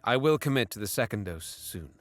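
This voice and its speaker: A man playing a bitter, scheming young alien warrior, narrating and speaking with measured, guarded care in an audio drama. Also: fairly low pitch, lively intonation, no room sound, soft background music, close to an everyday voice. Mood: conspiratorial. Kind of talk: first-person dramatic narration and dialogue.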